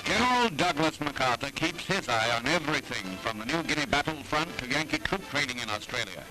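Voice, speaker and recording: A man's voice: mid-range voice, lively intonation, fairly quick, low-quality recording. An American man reading dramatic, declamatory scripted narration for a 1940s newsreel. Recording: archival 1940s newsreel, music behind.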